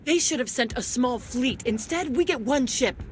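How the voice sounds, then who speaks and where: An actress playing a jaded, resentful security officer in a video game, terse and sardonic. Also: female voice, fairly high pitch, expressive, normal speed, slight background noise, close to an everyday voice. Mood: bitter, guarded.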